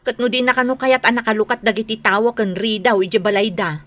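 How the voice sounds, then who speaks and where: An actress plays a protective grown daughter in a radio drama. Her voice is high and bright, Ilocano-accented, earnest and quick to reproach. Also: fairly even intonation, close to an everyday voice. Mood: suspicious. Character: indignant adult daughter, protective of her elderly parents. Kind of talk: Filipino radio drama acting.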